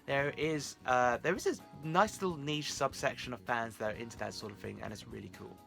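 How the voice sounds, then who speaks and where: A man's voice: mid-range voice, fairly quick, vocal fry, a bit bright, soft background music. A man talking casually to his viewers as the host of a vlog.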